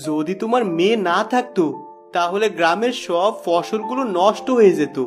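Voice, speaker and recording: A man's voice: high-pitched, moderate pace, soft background music, natural voice. A man playing a protective, remorseful village father in a fairy-tale cartoon, earnest and emotional. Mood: consoling.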